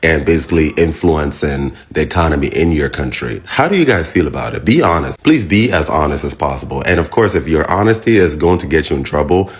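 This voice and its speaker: A young man from Nigeria with a mix of Nigerian and American accent, chatting casually to his viewers and asking their opinion. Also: a bass voice. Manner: chatty, repeats shout-outs, laughs and teases near the end. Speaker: vlogger asking viewers a question. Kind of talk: casual direct-to-camera talk.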